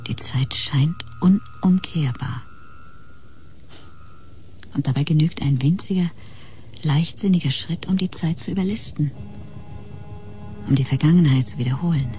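An actress playing a wistful woman in a poetic audio drama monologue, breathy and half-whispered, brooding slowly. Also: low voice, warm tone. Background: music.

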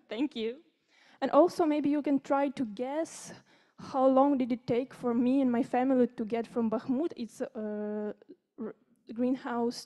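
High-voiced Ukrainian teenage girl. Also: warm tone. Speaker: youth speaker presenting at a conference. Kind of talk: informal presentation to an audience. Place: conference room with seated audience.

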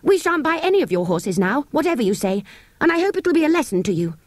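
A woman's voice: big pitch swings, fast, dry recording, studio quality. A woman voicing a plucky, well-bred young English boy in a storybook, earnest, proper and easily indignant. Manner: earnest, proper, high-pitched delivery.

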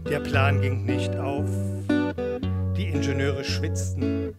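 A man in his fifties from eastern Germany declaiming rhythmic spoken poetry in short measured phrases. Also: mid-range voice, steady tone. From Magdeburg, eastern Germany. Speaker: spoken-word performer. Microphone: stand microphone with pop filter, close. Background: electric guitar playing.

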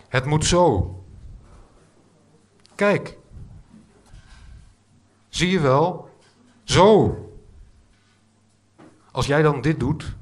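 A man performs live on stage. He speaks very slowly, at an average pitch for a man.

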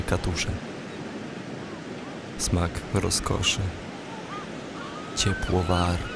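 An actor playing a tormented, brooding lover, reciting poetry in short weighted phrases for an audio drama. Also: male voice, low-pitched, vocal fry, very noisy, heavily acted.

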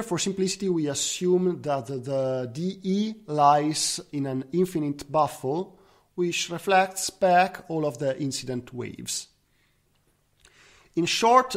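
A man presenting scientific research as a conference speaker, in the style of a technical slide talk. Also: on the high side. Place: recorded online slide talk.

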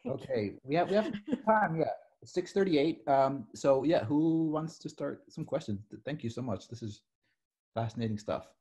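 A man with a husky voice moderates an online class session. He keeps things moving briskly and invites the students to ask questions. He is speaking into a laptop microphone. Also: medium pitch, animated, a little mellow. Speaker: course instructor hosting the session. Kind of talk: moderating a class over video call.

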